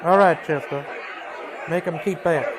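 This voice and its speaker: An actor playing a weary, commanding Old West marshal, terse and authoritative, in a western radio drama. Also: male voice, on the high side, measured pace, crowd noise, natural voice. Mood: brisk, firm.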